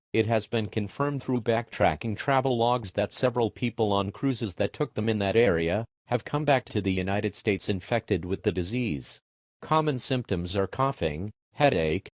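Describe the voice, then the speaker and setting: A man's voice: on the low side, no room sound, clean studio sound. A man narrating a scripted emergency broadcast in a formal, impersonal announcer manner.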